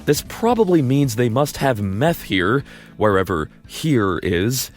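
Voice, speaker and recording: A man's voice: medium pitch, very animated, slow, faint music behind. A man narrating a story in the second person, casual and wry, with dry asides.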